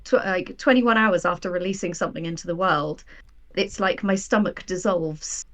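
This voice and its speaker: A woman with a slightly low voice, talking casually as a guest in an interview. She tells personal stories with wry, self-deprecating emphasis, animated and conversational. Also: normal speed. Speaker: guest, writer of audio drama.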